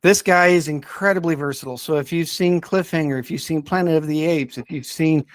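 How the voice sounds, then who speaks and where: A man hosting a casual podcast, talking enthusiastically but haltingly as he searches for names and details. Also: a little high, no room sound. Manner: enthusiastic about the subject. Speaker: podcast host.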